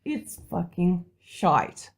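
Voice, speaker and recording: A woman's voice: fairly low pitch, big pitch swings, drawn-out words. A woman co-hosting a podcast in casual, chatty, sweary conversation. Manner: chatty, informal. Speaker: podcast co-host.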